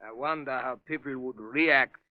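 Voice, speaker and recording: A man's voice: mid-range voice, moderate pace, no room sound, lightly acted. An actor playing an eager, chatty, slangy henchman in a 1930s radio mystery. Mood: impressed, eager. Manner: slangy, folksy gangster talk. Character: eager, chatty, uneducated henchman to mad doctor. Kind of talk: 1930s radio crime acting. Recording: muffled 1930s radio recording.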